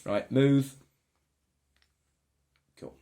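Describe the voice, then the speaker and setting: Man, casual board game chat, excitable, reads card text. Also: mid-range voice, very slow. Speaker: player reading cards.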